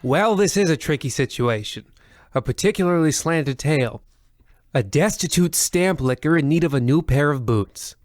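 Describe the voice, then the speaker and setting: A man's voice: on the high side, expressive, moderate pace, no room sound, close to an everyday voice. Man as theatrical, ominous radio horror host. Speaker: narrator host. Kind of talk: 1940s radio horror narration, parodied.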